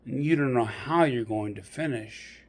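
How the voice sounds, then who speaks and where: A man narrating a guided meditation in a deliberate, measured storytelling manner with pauses. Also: medium pitch.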